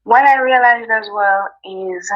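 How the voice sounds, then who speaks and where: A woman's voice: fairly high pitch, measured pace, lo-fi sound. A woman from Jamaica with a Jamaican accent, a guest chatting casually in an interview, talking easily with many ums and you-knows.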